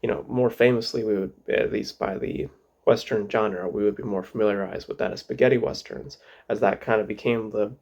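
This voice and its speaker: A man hosting a casual film review, hoarse from a cold, rambling with many fillers and restarts. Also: lowish voice, fairly even intonation, a little fast, darkish tone, muffled recording. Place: home.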